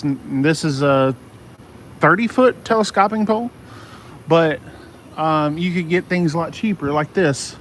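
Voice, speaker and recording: A middle-aged man giving a casual, unscripted hobby explainer, halting and often repeating himself as he circles back to his points. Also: a little high. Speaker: radio hobbyist video host. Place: outdoors in a yard. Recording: outdoor noise with insects and traffic.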